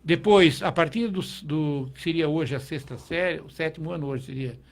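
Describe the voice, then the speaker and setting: A middle-aged Brazilian man, a teacher, recalling his past in a relaxed interview, with frequent restarts and tag questions. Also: a little high, normal speed. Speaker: guest, teacher. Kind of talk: conversational interview.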